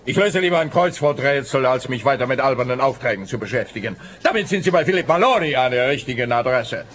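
An actor playing a grumpy, dismissive homicide officer in a radio play, sardonic. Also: male voice, medium pitch, lively intonation, fast talker, fairly bright tone, soft background music, lightly acted. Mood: grumpy, sarcastic. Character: grumpy, sarcastic male homicide police officer. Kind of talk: German-language radio play dialogue.

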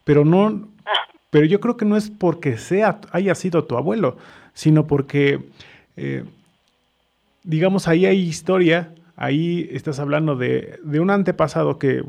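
A man's voice: fairly high pitch, creaky, darkish tone. A man with a Mexican accent hosting a conversational radio interview, reflective and often repeating himself as he thinks aloud. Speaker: radio host.

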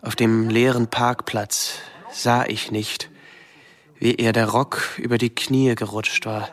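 An actor playing a withdrawn, guilt-ridden young man, recounting his memories haltingly and introspectively in a radio drama monologue. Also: mid-range voice, flat delivery, natural voice. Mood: haunted. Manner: slow, halting, introspective delivery in short phrases.

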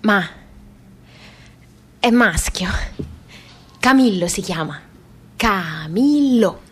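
An actress playing an insistent, wounded grown daughter in a radio play. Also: female voice, medium pitch, expressive, very slow, slightly rough, full character voice. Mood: proud, coaxing. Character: grown daughter pleading with her absent mother, insistent, wounded. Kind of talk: radio theatre dialogue.

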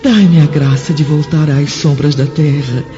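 A devoted female spirit with solemn, heartfelt formality. The voice is mellow and mid-pitched. It comes through a dull radio-drama recording with faint music behind. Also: fairly even intonation, unhurried. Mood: tender pleading. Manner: solemn, formal, emotive delivery. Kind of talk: dramatic radio play acting.

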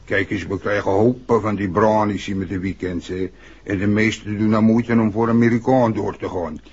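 Husky, chatty village innkeeper. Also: male voice, lowish voice, fairly even intonation, moderate pace, a little mellow. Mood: confiding, chatty. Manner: colloquial, folksy, peppers speech with tag questions. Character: chatty, gossipy village innkeeper, plain-spoken older man. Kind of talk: 1960s radio drama acting. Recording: muffled old radio recording.

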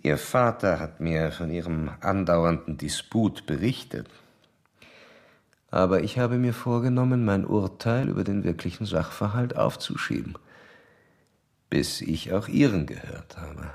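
A man's voice: low voice, expressive, measured pace, creaky, a little mellow, no room sound, clean studio sound, heavily acted. An actor playing a composed male doctor in a radio drama, speaking in a formal, methodical, clinical way. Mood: calm, probing. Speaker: doctor and narrator.

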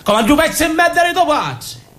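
A man's voice: extremely high pitch, sing-song, moderate pace, sharp tone, voice pushed up, heavily acted. A man voicing a cruel, mocking cousin in a stage monologue, taunting crudely in a drawling Sicilian accent. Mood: mocking contempt.

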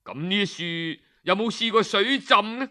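A man's voice: sits high, normal speed, bright, forceful tone, pitched above the performer's own voice. A man voicing a stern magistrate from ancient times who questions and commands. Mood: commanding.

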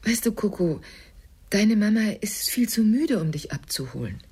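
An actress playing a tender, sorrowful carer who softly consoles a small boy in a radio play. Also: medium pitch, very animated, normal speed. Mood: tender, sad. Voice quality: very breathy, husky. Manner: soft, soothing. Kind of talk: radio drama acting.

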